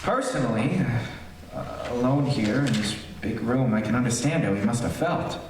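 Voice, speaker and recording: A man playing a nervous radio reporter in a haunted house, halting and confiding in his listeners, in old-time radio horror style. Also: mid-range voice, animated, close to an everyday voice. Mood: uneasy. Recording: echoey distant stage recording, faint noise.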